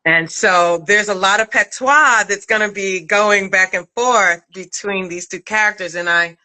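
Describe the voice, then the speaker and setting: A woman's voice: medium pitch, a little slow, bright, forceful tone, poor audio. A middle-aged woman hosting a casual live chat in an effusive, affectionate manner. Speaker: podcast writer-director hosting a live chat.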